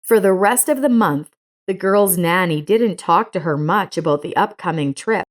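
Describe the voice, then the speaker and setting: A woman's voice: fairly low pitch, expressive, normal speed. A woman reading a scripted story as its narrator.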